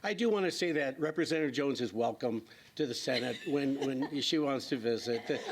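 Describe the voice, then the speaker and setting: A man's voice: medium pitch, a little fast. A man, a state senator with a slightly scratchy voice, speaking plainly at a public forum. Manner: plainspoken, listing things with fillers.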